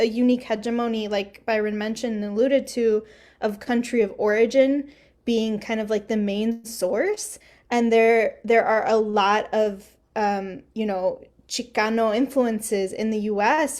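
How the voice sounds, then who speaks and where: A woman's voice: medium pitch, a little mellow. A young woman co-hosting a podcast, speaking reflectively with frequent "like" fillers. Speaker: podcast co-host. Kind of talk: casual discussion.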